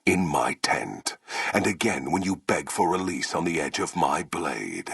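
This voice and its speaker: Towering game warlord: extremely low, husky rumble, grim and proud, commanding, with big dramatic swings in intonation. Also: male voice, big pitch swings, a little slow, a bit bright, no echo, full character voice. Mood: cruel menace. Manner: solemn, commanding, formal and portentous speech. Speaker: video game voice actor. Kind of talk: theatrical video game villain acting.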